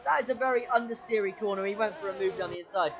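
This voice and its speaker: A man commentating his own racing game run, excitable play-by-play with a sore, strained throat. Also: rapid-fire, slight background noise. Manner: excitable play-by-play, pitch jumps when overtaking. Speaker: gaming channel host.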